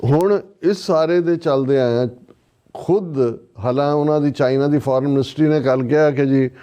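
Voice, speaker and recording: A man's voice: a little high, normal speed, mellow, smooth. A man with a Punjabi accent gives conversational political commentary as a talk show commentator, in a sardonic, emphatic manner.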